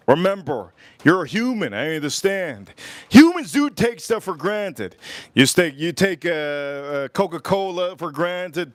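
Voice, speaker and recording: Guy livestreaming from florida, kinda sick, fired up shouting out viewers, dry close mic. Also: on the high side, dry recording. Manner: animated, shouting out viewers' locations. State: getting sick. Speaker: livestream host. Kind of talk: casual livestream chat.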